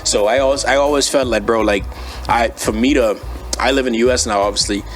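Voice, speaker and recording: A young man from the Bahamas speaks with a Bahamian accent in a casual interview. His talk is laid-back and slangy, peppered with bro, at a middling pitch. Music plays behind his voice. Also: a little fast. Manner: laid-back, slangy, frequently says bro and you know what I mean. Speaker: interview guest, former athlete and brand owner. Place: studio.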